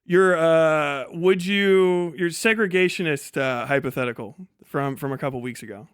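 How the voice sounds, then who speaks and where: Male debater, clean smooth voice pitched a little high, combative and pedantic, dry sound. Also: moderate pace, a bit bright, dry recording. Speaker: debater on a livestream. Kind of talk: heated political debate.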